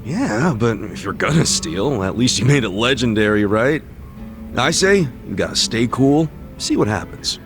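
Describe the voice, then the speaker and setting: A brash, optimistic street-smart man in a video game. His mid-pitched delivery swings up and down freely, slangy and informal, like a loyal buddy. Faint music plays behind him. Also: sing-song, measured pace. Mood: breezy, reassuring. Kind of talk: video game English dub dialogue.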